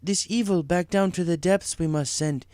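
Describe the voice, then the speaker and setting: A man voicing a wise female zebra mystic who speaks gravely in formal, inverted phrases. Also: high voice, normal speed, a little mellow, no room sound, studio quality, voice pushed up, natural voice.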